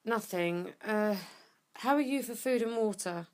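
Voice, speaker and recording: An actress playing a tough, urgent woman survivor in an audio drama, speaking tersely and commandingly, often hushed. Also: on the high side, unhurried, natural voice. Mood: firm, guarded. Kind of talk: audio drama acting with first-person narration.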